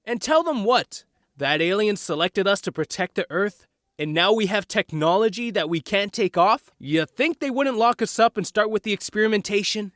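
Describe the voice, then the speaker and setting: Guy as eager teen boy, quick-witted, close dry recording, audio drama. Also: sits high, animated, fairly bright tone, no room sound. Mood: skeptical.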